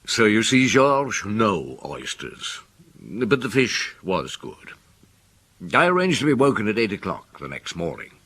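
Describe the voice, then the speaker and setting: An actor playing a detective wryly recounting a case to a friend, narrating within a radio drama. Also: male voice, fairly low pitch, huge pitch swings, measured pace, fairly bright tone, breathy edge, full character voice. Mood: wry, reminiscing.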